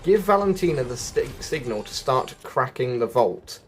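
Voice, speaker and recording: Casting: male gaming guide host, chatty and animated in pitch, thinking aloud, light music behind. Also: normal speed. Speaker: video game guide host. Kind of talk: casual gameplay walkthrough commentary.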